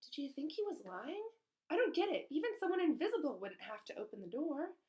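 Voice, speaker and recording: A woman reader plays a young wizard schoolboy in a storybook. He is a put-upon grumbler, high in pitch and emphatic in his complaints. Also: very animated, a little fast, a little mellow. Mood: puzzled.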